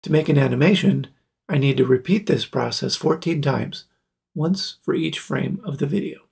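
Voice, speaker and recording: Male tutorial presenter, forties, very husky and breathy with some creak, plain explanatory delivery. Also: a little high, normal speed, darkish tone. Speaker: video maker presenting a tutorial.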